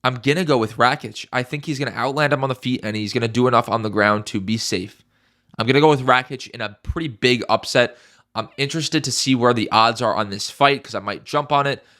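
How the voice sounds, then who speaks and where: A man's voice: medium pitch, brisk, sharp tone. Stuffy-nosed man talking fight picks. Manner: emphatic, repeats key phrases, swears casually. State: congested, stuffy. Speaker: MMA YouTuber. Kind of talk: casual fight predictions.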